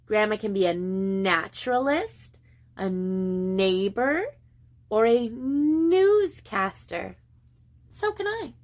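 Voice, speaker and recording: Expressive, slow-paced woman reading to preschoolers. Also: medium pitch. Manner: sing-song, expressive, deliberate pauses. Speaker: preschool teacher. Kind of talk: reading a picture book aloud to young children.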